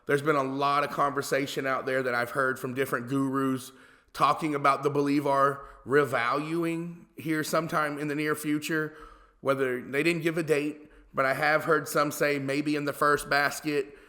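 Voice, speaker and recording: Guy hosting his channel, chatty and blunt, kinda sharp tense tone. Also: a little high, little pitch movement, moderate pace. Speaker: host. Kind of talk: casual online show talk.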